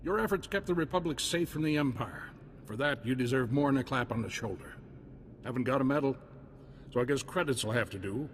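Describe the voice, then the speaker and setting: An actor playing a hearty Republic military officer in a video game, talking in a folksy, emphatic, commanding way. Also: male voice, mid-range voice, expressive, a little fast, close to an everyday voice. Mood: grateful, hearty. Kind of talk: scripted video game dialogue. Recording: long reverb, faint noise and ambience.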